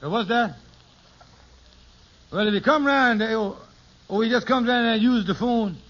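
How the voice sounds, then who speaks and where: An actor playing a scheming, hen-pecked husband in an old radio sitcom, with a wheedling, stammering, theatrical delivery. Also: male voice, extremely high pitch, moderate pace, fairly bright tone. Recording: noisy, dull old radio recording.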